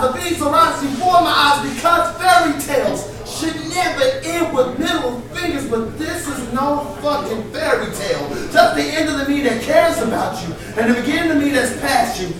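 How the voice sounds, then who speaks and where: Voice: sits high, lively intonation, normal speed, few pauses, a crowd in the background. A spoken word poet performing live, with an emphatic, impassioned, rhythmic delivery. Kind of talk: live performance poetry with sung passages. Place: live poetry event before an audience. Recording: echoey live venue, distant mic, audience noise.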